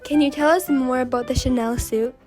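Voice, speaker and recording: Woman as 1920s radio show host: high voice, upbeat, polite scripted delivery. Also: fairly even intonation, fairly quick, over music, lightly acted.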